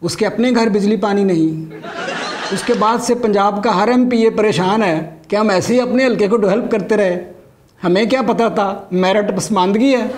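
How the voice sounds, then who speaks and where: A man's voice: sits high, moderate pace, background noise. A middle-aged man with a Pakistani accent delivering a scripted satirical comedy monologue as a stand-up comedian. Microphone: lapel mic.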